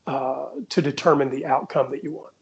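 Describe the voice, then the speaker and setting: A man in casual debate, speaking in a measured, deliberate and conciliatory way as he orders his points. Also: mid-range voice, steady tone, creaky, muffled recording.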